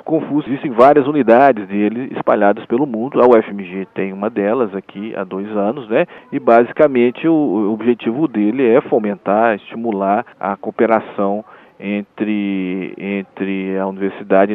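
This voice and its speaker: A man with a fairly low voice explains things on a radio interview, and he speaks in a hesitant way, often restarting his phrases and adding small tag questions. He is heard through a narrow, phone-like line. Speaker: newsletter editor, radio guest.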